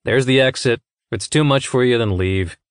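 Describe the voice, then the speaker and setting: A man's voice: mid-range voice, moderate pace, clean tone, dry recording, clean studio sound, natural voice. A man voicing an irritable, impatient male official in a narrated story, huffy and dismissive.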